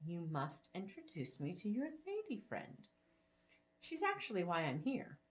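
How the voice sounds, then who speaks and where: A woman's voice: lowish voice, huge pitch swings, measured pace. Woman playing a genteel old lady, lilting and playful. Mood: playfully chiding. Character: spry, matchmaking old lady, gracious and teasing.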